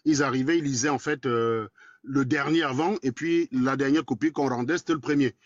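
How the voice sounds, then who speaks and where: A quick-talking Cameroonian man in his forties. His voice is husky and a little raspy, and he talks bluntly, with crude words. The audio is dry and dull, like a lo-fi live stream. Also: medium pitch, dry recording. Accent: Cameroonian French. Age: 40s. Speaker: guest in a live debate. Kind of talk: casual live chat.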